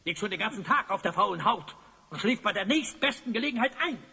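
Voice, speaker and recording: High, swooping tyrant sorcerer in cavernous reverb. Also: male voice, lively intonation, fast, creaky, bright, forceful tone, breathy edge, full character voice. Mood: exasperated. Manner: pompous, commanding, theatrical. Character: tyrannical, gloating evil sorcerer, Master of Time. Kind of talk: German audio drama acting.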